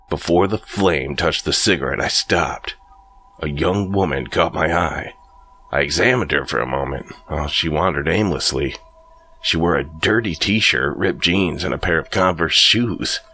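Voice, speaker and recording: Very deep gravelly male horror narrator. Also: sharp tone. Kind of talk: scripted first-person horror narration.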